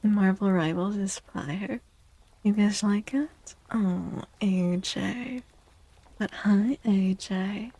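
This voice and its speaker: A woman speaking softly and gently in a breathy, half-whispered ASMR style, like a livestreamer, often repeating phrases. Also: medium pitch, extremely slow, dry recording. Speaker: streamer and tarot reader. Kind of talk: soft-spoken ASMR livestream with casual chat.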